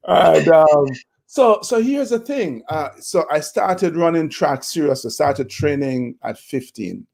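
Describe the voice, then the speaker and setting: A Jamaican man in his fifties, a motivational speaker, telling his life story in a relaxed interview with many fillers and restarts. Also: fairly high pitch, slow, creaky. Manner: reflective, with frequent fillers and restarts.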